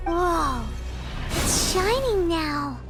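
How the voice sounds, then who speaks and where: A woman voicing a tiny, excitable, childlike fairy companion in a video game, squeaky and exclamatory. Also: extremely slow, loud music behind the voice, exaggerated voice. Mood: eager, excitable. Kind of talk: cartoonish video game acting.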